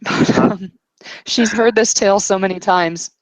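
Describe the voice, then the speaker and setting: A woman improvising narration and character dialogue in a tabletop roleplaying session, speaking deliberately in short, broken phrases. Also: medium pitch, no echo, low-quality recording.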